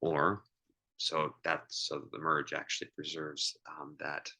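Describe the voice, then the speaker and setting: A man giving an informal, step-by-step math lecture as a teacher, thinking aloud in a halting way and repeating himself. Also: a bass voice, completely flat delivery, pushed and bright.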